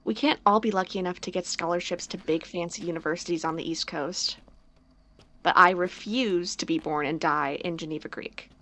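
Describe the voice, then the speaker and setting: A woman's voice: lowish voice, a little fast, a bit bright, natural voice. A woman playing a teasing, stubborn, protective small-town young woman in audio drama dialogue, with a dry manner. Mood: determined.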